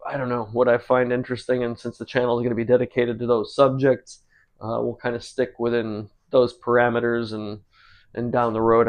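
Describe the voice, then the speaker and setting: A man's voice: medium pitch, vocal fry. A man in his forties from the United States, chatting casually and rambling with many fillers while he cooks on his channel. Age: 40s. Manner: rambling, relaxed, many ums and uhs. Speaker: cooking channel host. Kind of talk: casual talk while cooking.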